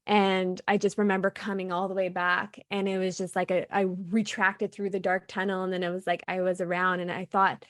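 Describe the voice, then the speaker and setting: A woman's voice: fairly low pitch, level tone, moderate pace, few pauses, no echo, clean studio sound. A woman from Canada, a guest on an interview show, telling a personal story in an earnest, reflective way with many fillers. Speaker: podcast guest.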